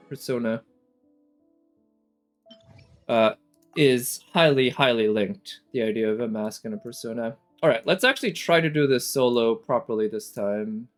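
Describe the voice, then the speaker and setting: A man's voice: mid-range voice, measured pace, pauses often, soft background music. A man giving casual, off-the-cuff commentary as a streamer, analytical and hesitant as he thinks aloud. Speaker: reaction streamer.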